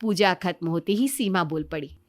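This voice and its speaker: A woman's voice: fairly low pitch, normal speed, faint music behind. A woman narrator reading a scripted moral family story.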